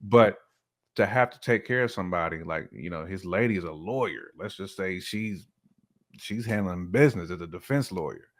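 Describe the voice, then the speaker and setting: Male podcast co-host, lowish voice, lively swooping intonation, casual commentary. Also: normal speed.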